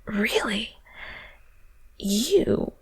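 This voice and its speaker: A woman playing a shy, friendly female ghost of the underworld in an intimate ASMR audio roleplay, soft and whispery, with hesitant, stammering, gently awkward speech. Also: fairly high pitch, big pitch swings, very slow, slightly rough, no room sound, exaggerated voice. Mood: warm, interested.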